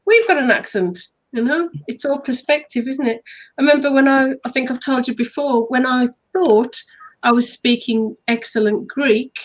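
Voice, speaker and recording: English woman, co-host of a casual livestream chat, higher register, playful storyteller. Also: moderate pace, poor audio. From England. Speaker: author and hypnotherapist co-host.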